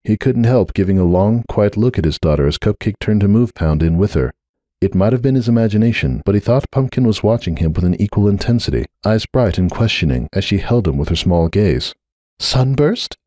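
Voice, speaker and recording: Expressive low-voiced man narrating fiction. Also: brisk, dry recording, studio quality. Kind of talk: dramatic scripted story narration.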